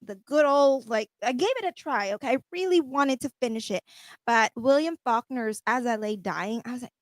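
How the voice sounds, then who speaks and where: A woman's voice: on the high side, normal speed, dry recording. A woman chatting on a radio panel, telling stories in an emphatic, talkative way. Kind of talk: casual radio chat.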